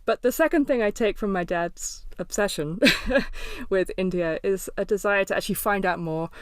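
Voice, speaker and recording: A woman's voice: mid-range voice, moderate pace, no room sound. A woman hosting a podcast, talking in a reflective, candid way with frequent ums and restarts. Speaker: podcast host. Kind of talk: podcast intro and interview.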